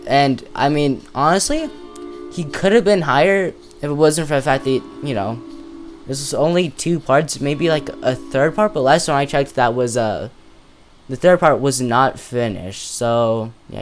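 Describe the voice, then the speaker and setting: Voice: medium pitch, moderate pace. Casual, rambling commentator over guitar music. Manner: hesitant, thinking aloud, informal slang. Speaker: video creator presenting a character ranking. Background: music, plucked guitar.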